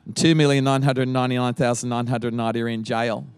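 A man's voice: mid-range voice, level tone, fast talker, clear, resonant tone, dry recording. A middle-aged man with an Australian accent, a guest pastor opening his sermon with playful teasing and frequent laughter.

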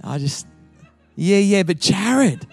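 Joking Australian pastor with laughter. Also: male voice, high-pitched, animated, slow, soft background music. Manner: playful, teasing, laughs often. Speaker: guest pastor. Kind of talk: joking sermon opening.

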